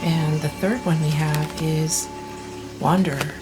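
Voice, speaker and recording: A woman in her thirties giving a tarot reading in a soft, reassuring way, clearing her throat as she loses her voice. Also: low voice, steady tone, over music, low-quality recording. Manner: soft, slow, reassuring delivery with many restarts. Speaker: tarot reader. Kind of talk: pick-a-card tarot reading.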